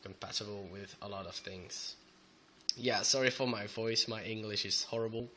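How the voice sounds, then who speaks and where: A young man with a Belgian Dutch accent who is a bit sick, talking haltingly through a coding tutorial with many fillers. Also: on the low side, fairly even intonation, sharp tone, a little hiss or hum. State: sick, voice sounds off. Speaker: programming tutor. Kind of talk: screen-recorded coding tutorial. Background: keyboard typing and clicks.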